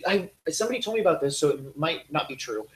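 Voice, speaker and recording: A young man co-hosting a live podcast from a home living room on a handheld mic, chatting casually and reading viewer comments aloud with excitement. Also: on the high side, quick pace. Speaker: podcast co-host.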